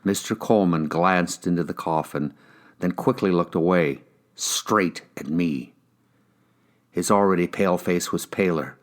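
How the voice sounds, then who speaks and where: An American man with a low voice reads a crime novel aloud. He narrates in the first person, as an actor would for an audiobook. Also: measured pace.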